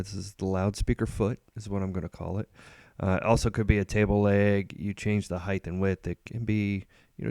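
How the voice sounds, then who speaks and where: A man hosting a casual screen-recorded coding tutorial, relaxed and rambling with frequent ums and uhs. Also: on the low side, no echo, clean studio sound. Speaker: host.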